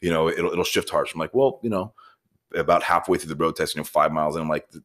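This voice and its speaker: A man's voice: very deep voice, quick pace, a bit bright. A man chatting casually as a podcast host, telling rambling anecdotes and acting out both sides of conversations. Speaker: podcast host, shop foreman and transmission technician. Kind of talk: casual chat, storytelling.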